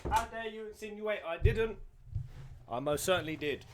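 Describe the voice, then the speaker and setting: A man giving casual, jokey, excitable reaction commentary as a gaming host. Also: high voice, normal speed, slight background noise.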